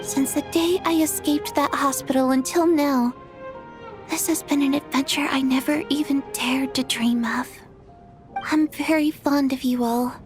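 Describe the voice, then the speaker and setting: An actress playing a young ghost girl who speaks softly, calmly and politely, with faint game music behind. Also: sits high, unhurried. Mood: gentle. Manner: soft, calm, polite. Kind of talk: video game voice acting.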